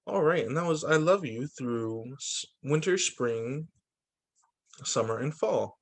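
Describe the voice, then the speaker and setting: A man who teaches young children, reading picture books aloud in an expressive, sing-song storytime delivery. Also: mid-range voice, slow, gravelly. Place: remote video call story session.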